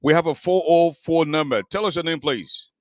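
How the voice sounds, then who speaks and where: A man's voice: fairly high pitch, moderate pace, dry recording, studio quality, faint music behind. A man hosting a call-in radio show, pressing his callers and cutting in to keep things moving. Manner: pressing, cuts callers off, repeats to interrupt.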